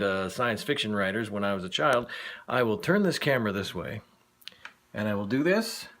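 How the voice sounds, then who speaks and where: A middle-aged American man chatting casually to camera in a halting, wry way. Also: fairly low pitch, fairly bright tone. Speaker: vlogger, former radio announcer.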